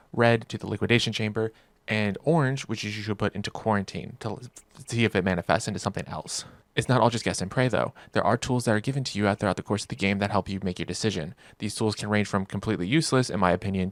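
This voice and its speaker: Man, lowish voice, game review narration, informal, wry, very dry recording. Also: no echo. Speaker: gaming video creator. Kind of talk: scripted game review narration with casual reactions.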